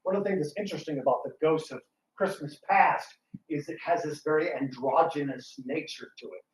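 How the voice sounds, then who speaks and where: A man's voice: a little high, brisk. A man chatting casually with an audience as a panel speaker at a talk. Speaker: radio theatre actor and panel speaker. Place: indoor talk in a roomy space. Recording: roomy, distant, noisy live room recording.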